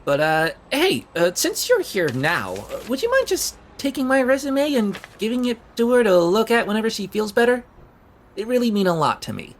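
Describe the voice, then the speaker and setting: A woman's voice: on the high side, sing-song, normal speed. A woman playing an awkward, nervous young job seeker in an audio drama, hesitant, stammering and rambling. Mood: awkward, hopeful. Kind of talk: naturalistic audio drama acting.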